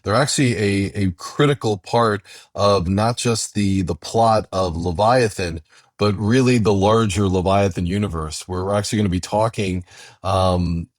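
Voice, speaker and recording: A man co-hosting a conversational podcast introduction, speaking in halting phrases with repeated words. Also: lowish voice, dry recording, studio quality. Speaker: co-host and writer introducing an audio drama episode.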